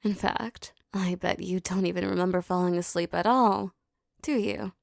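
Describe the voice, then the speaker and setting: A woman performing a scripted hypnosis roleplay, speaking softly and commandingly with a teasing edge. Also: lowish voice, steady tone, gravelly, dry recording, studio quality. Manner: slow, soft, commanding and teasing.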